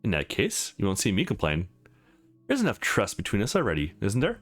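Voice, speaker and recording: A man's voice: on the low side, wildly swooping pitch, fairly quick. Man playing a confident, teasing young guy. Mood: easygoing. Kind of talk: visual novel character read-aloud.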